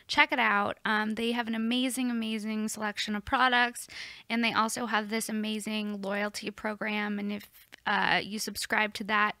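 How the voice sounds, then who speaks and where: A woman hosting a podcast, chatting casually and playfully with quick asides, after reading an ad. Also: medium pitch, little pitch movement, pushed and bright, dry recording. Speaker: podcast host. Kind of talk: casual podcast chat and read-out ad.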